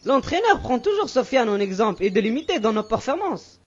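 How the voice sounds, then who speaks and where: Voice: mid-range voice, big pitch swings, fairly quick, highs cut off. An actor voicing a schoolboy athlete in an educational children's cartoon. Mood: resentful, grumbling. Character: resentful, envious schoolboy athlete.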